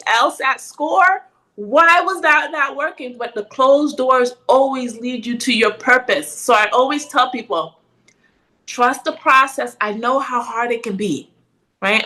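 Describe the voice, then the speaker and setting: Middle-aged woman from Ghana, podcast guest, animated and conversational, with a bright tone. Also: on the high side, rough recording. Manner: animated, frequent "right?" tag questions. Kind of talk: casual interview chat.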